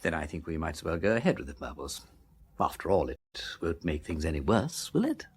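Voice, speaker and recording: An actor playing a breezy, flippant aristocratic English gentleman sleuth in a period radio drama, drawling with tag questions. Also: rumbling low, very animated, brisk, background noise, full character voice. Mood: wry, musing. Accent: upper-class English. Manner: drawling, flippant, tags questions with what and hmm.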